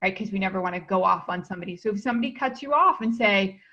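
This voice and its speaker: A woman's voice: medium pitch, lively intonation, very fast, pushed and bright. A woman hosting an interview, enthusiastic and affirming. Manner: enthusiastic, affirming, many "mm-hmm"s. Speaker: speaking coach, host. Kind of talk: interview host chat. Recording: dull lo-fi sound.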